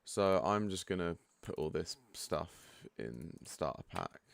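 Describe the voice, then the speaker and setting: A man with a croaky, just-woken-up voice giving a relaxed, chatty walkthrough of a software setup. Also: deep voice, unhurried, no echo. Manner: relaxed and chatty, frank asides. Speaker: product developer giving a setup tutorial. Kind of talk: casual screen-share walkthrough.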